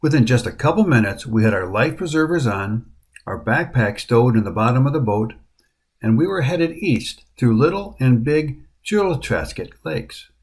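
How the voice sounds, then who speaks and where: Middle-aged American man, mid-pitched, scripted hiking voice-over, dry wit. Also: animated, normal speed. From United States. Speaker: hiking vlogger. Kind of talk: scripted travel narration.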